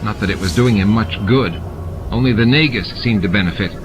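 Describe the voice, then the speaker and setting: Low-voiced man narrating over music. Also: fairly quick, poor audio. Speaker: audiobook narrator. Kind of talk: scripted fiction narration. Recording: music and sound effects behind the voice. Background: added music and sound effects.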